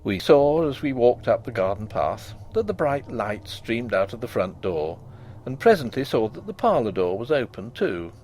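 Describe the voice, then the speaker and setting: A man's voice: mid-range voice, big pitch swings, moderate pace, a little mellow, slight background noise. A man reading a gothic ghost story aloud with dramatic, suspenseful delivery.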